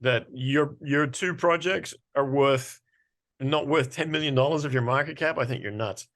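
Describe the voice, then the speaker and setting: A man's voice: mid-range voice, normal speed, fairly bright tone. A man hosting a casual interview, talking informally with a blunt, wry manner. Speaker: interviewer and host.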